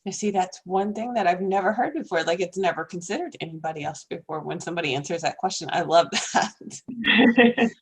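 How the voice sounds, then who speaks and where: A woman's voice: on the low side, fairly quick, breathy edge. A woman hosting a podcast, enthusiastic, laughing mid-sentence and saying "like" often. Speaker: podcast host. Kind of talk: casual interview chat.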